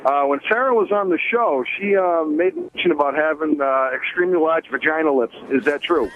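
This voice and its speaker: A man's voice: on the high side, fairly quick. A man calling in to a radio show with casual questions, over a poor phone connection. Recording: noisy, tinny phone line.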